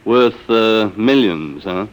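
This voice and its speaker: An actor playing a blunt, harried middle-aged businessman, gruff and often stammering, in an old radio drama. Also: on the low side, expressive, slow pace. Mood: wary, grudging. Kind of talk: vintage radio drama acting. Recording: vintage radio recording.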